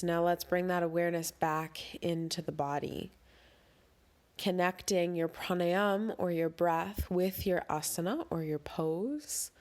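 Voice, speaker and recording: A woman leading a guided breathing meditation as an instructor, speaking in a gentle, soothing, instructional way. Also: lowish voice.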